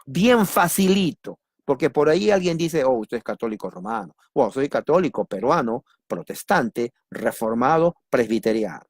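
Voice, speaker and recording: Peruvian preacher with a dry, close sound. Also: male voice, a little high, normal speed, creaky, hardly any pauses, no room sound. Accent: Peruvian Spanish. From Peru. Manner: repeats key words, asks and answers his own questions; lively, jokes with listeners. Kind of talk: teaching sermon on doctrine.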